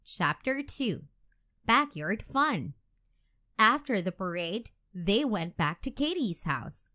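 A woman narrating a children's storybook as a read-aloud, in a deliberate, clearly enunciated storytelling manner. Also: mid-range voice, sing-song, bright, forceful tone.

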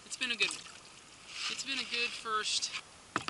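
A man's voice: very high voice, steady tone, normal speed, background noise. A young man talking casually on a kayak outing, rambling with restarts and fillers, filmed outdoors on the water by a camera mounted on the boat. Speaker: kayaking vlogger. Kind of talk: casual outdoor vlog talk. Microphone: action camera mounted on the kayak.

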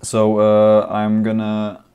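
A young man with a lowish voice rants about games into his webcam. He's not a native English speaker and keeps restarting his sentences and repeating points. The sound is close and plain, from a small room. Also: monotone, slow, clean tone, narrow, tinny audio. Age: young adult. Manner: ranting, repetitive, many restarts and fillers. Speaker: gaming vlogger. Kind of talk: casual opinion vlog. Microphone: webcam at desk, close framing.

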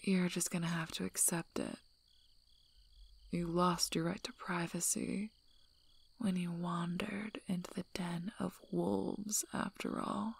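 A woman playing a tough, teasing, gruffly protective werewolf alpha in a soft-spoken, breathy ASMR roleplay, with dry delivery. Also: on the low side, little pitch movement, slow pace, no room sound, full character voice. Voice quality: breathy, whispery, husky.

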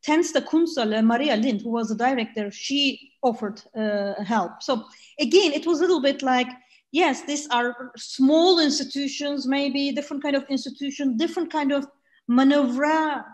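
A woman in her forties, a non-native speaker with a Turkish accent, speaking on an online panel over a laptop mic, halting with many fillers and restarts. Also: sits high. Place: room by a sunny window. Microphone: laptop mic on video call.

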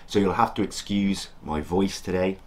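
A man in his thirties with a croaky sore-throat voice, chatting casually and enthusiastically as host of an insect-keeping vlog, filmed at his desk in a room of enclosures. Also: low-pitched, fairly even intonation, moderate pace. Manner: chatty, enthusiastic, self-deprecating. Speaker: channel host, insect keeper.

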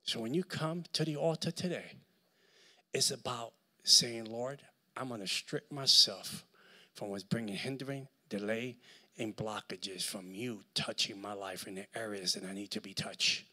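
A middle-aged man with a Puerto Rican accent preaching a sermon with a handheld mic in a large church hall, swinging between shouts and near-whispers. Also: on the low side, unhurried. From Puerto Rico. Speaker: guest preacher.

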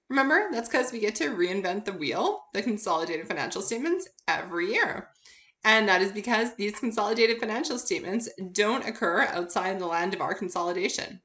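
A woman's voice: mid-range voice, sharp tone. A woman instructor narrating an informal recorded lecture, upbeat and encouraging, addressing her listeners directly. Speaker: accounting instructor.

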